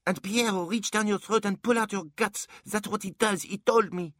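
A man's voice: high-pitched, fairly even intonation, moderate pace, fairly bright tone, dry recording, clean studio sound, pitched above the performer's own voice. A man voicing a hyperactive little boy in an audiobook, blunt, bossy and demanding. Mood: demanding, bratty. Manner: bratty, bossy.

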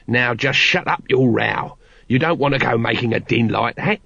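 A man puts on a shifty veteran watchman for an audiobook. He mutters and grumbles in rough, uneducated slang, forever wary of trouble. The recording is a little muffled. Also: mid-range voice, moderate pace, a bit bright, no echo. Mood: grumbling, wary. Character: seedy, cowardly, grumbling low-class veteran watchman. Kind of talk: audiobook character voice.

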